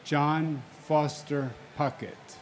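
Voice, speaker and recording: Solemn man with a measured delivery. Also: a little high, fairly even intonation, a little hiss or hum. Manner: solemn and measured, then firm and insistent. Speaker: victim's family member reading names. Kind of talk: memorial ceremony name reading and speech.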